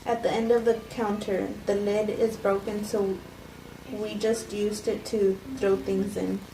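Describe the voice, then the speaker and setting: Woman acting subdued wife, flat unpolished script read, monotone, distant from mic, noticeable background noise. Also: medium pitch, measured pace, echoey room, natural voice. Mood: guarded. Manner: flat, unpolished amateur reading. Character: subdued, guarded wife, quietly resolute. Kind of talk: cold table read of a film script.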